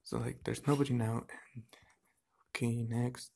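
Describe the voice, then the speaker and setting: Casual, creaky-voiced vlog host. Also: medium pitch, little pitch movement, a little slow, mellow. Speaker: podcast host.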